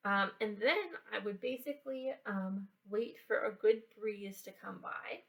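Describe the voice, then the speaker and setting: A woman giving a casual how-to science and craft demonstration for children, explaining in a halting way and checking in as she goes. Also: medium pitch, a bit bright, far from the mic, rough recording. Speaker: library children's program presenter. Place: indoors, in a library building.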